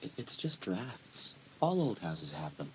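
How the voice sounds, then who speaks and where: A man's voice: sits low, big pitch swings, measured pace. A man playing a teasing, nonchalant teenage stepbrother in an audio drama, casual and wry. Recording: faintly noisy old cassette.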